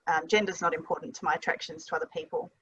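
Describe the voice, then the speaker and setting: Australian moderator on a lo-fi video call. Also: female voice, on the low side, steady tone, racing, a bit bright, slightly rough. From Western Australia. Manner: many ums and uhs, informal. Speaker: panel moderator. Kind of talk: relaxed, hesitant panel hosting. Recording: dull lo-fi video call audio.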